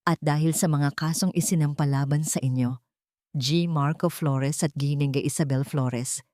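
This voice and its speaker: A woman voicing a firm judge in an audio story, with a steady, formal, authoritative delivery. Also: sits low, moderate pace, no echo, clean studio sound, voice pushed down, close to an everyday voice. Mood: stern, firm.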